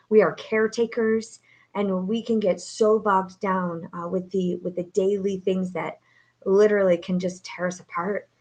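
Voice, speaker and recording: A woman hosting a live online talk as a coach, upbeat and preacherly, speaking from a home setup. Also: medium pitch. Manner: upbeat, preacherly, many fillers. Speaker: host and coach. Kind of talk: live-streamed motivational talk. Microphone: home setup, no studio.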